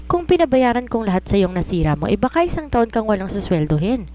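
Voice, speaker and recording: A woman's voice: medium pitch, very animated, fast, warm tone, lightly acted. A woman voicing a hot-tempered young woman writer in a story, scolding and exclaiming in exasperation. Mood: angry.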